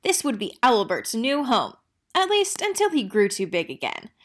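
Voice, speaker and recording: A woman narrating a children's picture book in an expressive storytelling manner. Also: a little high, normal speed. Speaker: read-aloud narrator.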